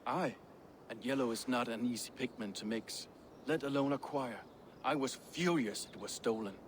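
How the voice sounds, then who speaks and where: A man's voice: medium pitch, normal speed, a little hiss or hum, natural voice. An actor playing a proud, dutiful Viking craftsman in a video game, earnest, formal and slightly defensive. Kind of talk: dramatic game dialogue acting.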